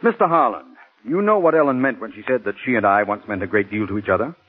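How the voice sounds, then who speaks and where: An actor playing a relentless, formal prosecutor who fires insistent questions in a 1940s radio courtroom drama. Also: male voice, fairly low pitch, animated, fast, husky. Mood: probing. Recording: muffled, hissy 1940s radio broadcast.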